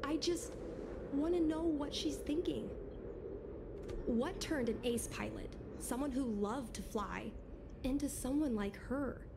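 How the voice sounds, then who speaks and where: A woman's voice: high voice, slow pace, noisy background. A woman voicing an earnest, polite young would-be pilot with a hesitant manner in a video game. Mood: troubled, determined.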